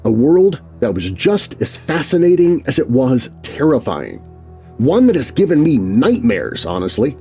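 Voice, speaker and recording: A man telling a scary story with dramatic, suspenseful delivery. His husky voice swings widely in pitch to build tension, and faint music plays underneath. He reads at a mid pitch, fully in storyteller mode. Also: wildly swooping pitch, a little slow, warm tone. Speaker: narrator. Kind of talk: scripted horror story narration.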